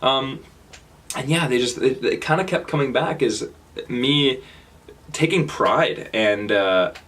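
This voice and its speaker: Halting Canadian man giving a talk. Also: lowish voice, fairly bright tone. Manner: casual, halting, many fillers and restarts. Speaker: speaker. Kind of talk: public talk. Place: stage.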